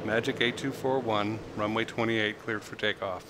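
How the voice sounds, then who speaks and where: A man playing air traffic controller, speaking in clipped, deliberate procedural phrases with muttered commentary. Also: on the low side, fairly even intonation. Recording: very noisy, engine drone behind. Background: flight simulator aircraft ambience.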